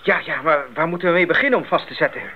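An actor playing a nervous, hesitant young missionary in a radio drama. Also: male voice, on the high side, expressive, rapid-fire. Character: nervous young male missionary, jittery and anxious. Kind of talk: 1980s Dutch radio play acting. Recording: dull old radio drama, busy background.

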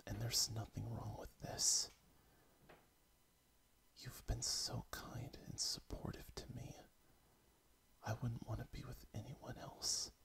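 A tender young bunny girl, whispering with a quiver in her voice, as a man reads her lines. The whisper is low and gravelly, and the pace slow. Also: flat delivery, a bit bright, heavily acted. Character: tearful young female rabbit, tender and anxious.

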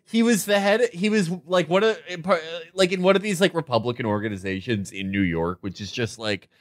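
A man's voice: fairly high pitch, fairly quick, dry recording, studio quality. A man co-hosting a podcast, riffing sardonically in casual, profane political banter full of "like". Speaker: podcast co-host.

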